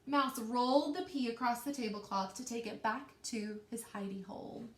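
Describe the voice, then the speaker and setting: Woman, higher voice, teacher's read-aloud, expressive, stretched key words, echoing room. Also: normal speed. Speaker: teacher reading aloud to children. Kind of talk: children's storybook read-aloud with questions. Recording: roomy, echoing indoor sound.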